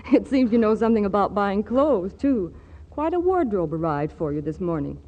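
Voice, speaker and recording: Polite firm governess, animated pitch, dull old radio sound. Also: female voice, moderate pace. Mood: poised, polite. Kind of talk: 1940s radio comedy acting. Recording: dull old radio broadcast, faint music.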